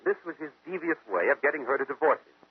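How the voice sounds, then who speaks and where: A man's voice: fairly high pitch, expressive, breathy edge. A man playing a brilliant, methodical American detective who explains deductions step by step in 1940s radio mystery style. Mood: confident, explanatory. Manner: deliberate, didactic, question-and-answer reasoning. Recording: muffled vintage radio recording, phone-like.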